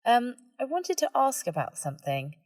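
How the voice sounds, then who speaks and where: Woman narrator as a young witch, higher-pitched, frank, nosy, informal, audiobook dialogue. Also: wildly swooping pitch, normal speed, a little mellow, dry recording. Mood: awkward, hesitant. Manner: informal, hesitant yet blunt.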